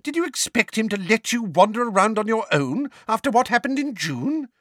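A man voicing a flustered, scolding elderly woman in an audiobook, exclaiming and fussing in a shrill, indignant way. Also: sing-song, normal speed, fairly bright tone, no room sound, clean studio sound, full character voice. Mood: flustered, impatient. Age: older.

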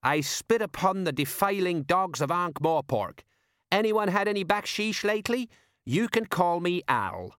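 A man's voice: high-pitched, animated, measured pace, a bit bright, smooth, no echo, studio quality, voice pushed up. A man voicing an earnest, bumbling police sergeant with colloquial, ingratiating speech, as in an audiobook. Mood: chummy. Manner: colloquial, dropped letters, ingratiating.

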